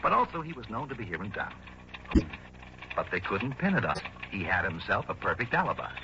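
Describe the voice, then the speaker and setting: Flustered, fast-talking American insurance man. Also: lowish voice, sing-song. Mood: agitated, emphatic. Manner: emphatic, slangy, exasperated. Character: flustered, griping, excitable male insurance man. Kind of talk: 1960s American radio drama acting. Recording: dull, noisy old radio recording.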